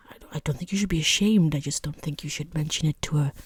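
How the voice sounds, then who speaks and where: A woman playing a rude, condescending female boss interviewing a job applicant in soft-spoken ASMR, half-whispered, with dry, sarcastic politeness. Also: low-pitched, quick pace, breathy edge, dry recording. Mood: awkward, disapproving.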